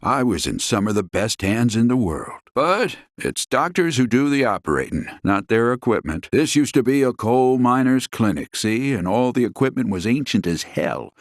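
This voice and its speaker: Folksy game laborer: plain-spoken storyteller, mid-pitched, animated, dry sound. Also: male voice, normal speed, few pauses, no echo. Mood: wry recounting.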